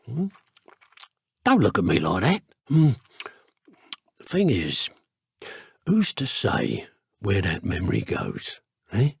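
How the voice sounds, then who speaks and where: An actor voicing a cocky, sneering dark wizard thug for a video game, with a British accent and colloquial, taunting, menacing banter. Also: male voice, on the low side, huge pitch swings, slow, creaky, pauses often, husky, no echo, studio quality, full character voice. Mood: musing, sly. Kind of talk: video game character voice clips.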